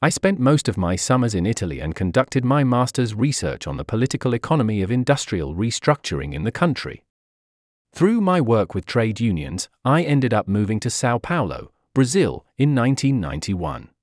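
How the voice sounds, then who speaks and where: An older man from the Netherlands giving measured, formal answers as an interviewee. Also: medium pitch, sing-song, no echo, clean studio sound.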